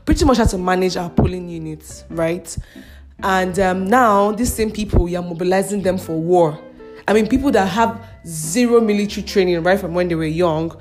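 A woman's voice: mid-range voice, a little mellow. Laughing Nigerian woman podcast host. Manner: chatty, laughs often, mocking asides. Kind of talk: casual news commentary.

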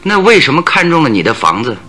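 An actor in a Chinese radio drama playing a composed, inquisitive male detective who questions in a measured, pondering way. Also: fairly high pitch, very animated, bright, forceful tone, soft background music, full character voice. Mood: curious, pondering.

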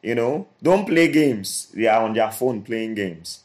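A man's voice: on the low side, animated, a little slow, a little mellow. A man co-hosting a motivational podcast, earnest and repetitive, often restarting his phrases. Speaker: co-host.

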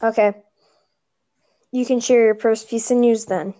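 A woman's voice: on the high side, steady tone, measured pace, warm tone. A woman hosting a casual podcast over a phone, chatty and rambling, with a slight lisp. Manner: chatty, rambling, restarts often. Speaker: podcast host.

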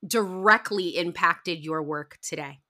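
A woman's voice: on the low side, unhurried, bright, forceful tone. A woman interviewing a guest in a casual, supportive way, often chiming in with short agreement. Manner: supportive, frequent short affirmations.

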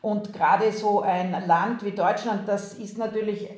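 A woman's voice: mid-range voice, fairly even intonation, measured pace, a little mellow, echoey room. A woman from Austria with an Austrian accent, a university president talking in an interview, thoughtful and hesitant, with frequent fillers. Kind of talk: interview over video call. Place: remote video call.

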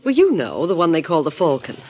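An actress playing a poised young woman and would-be singer in 1940s radio style, giving crisp, guarded replies. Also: deep voice, very animated, fairly quick, heavily acted. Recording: dull old radio recording.

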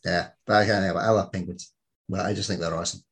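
A man's voice: deep voice, steady tone, fast talker, a bit bright, husky. A man hosting a podcast in casual, enthusiastic chat, joking and swearing freely. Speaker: podcast host.

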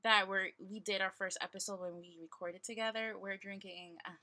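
A woman's voice: mid-range voice, steady tone, brisk, pushed and bright. A woman in her twenties co-hosting a podcast, chatting casually with playful, slangy banter. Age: 20s. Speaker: podcast co-host.

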